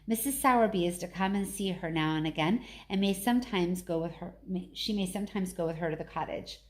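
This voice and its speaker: A woman voicing a weary, brooding but kindly gentleman in a storybook reading. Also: lowish voice, lightly acted. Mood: instructing. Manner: slow, musing, kindly.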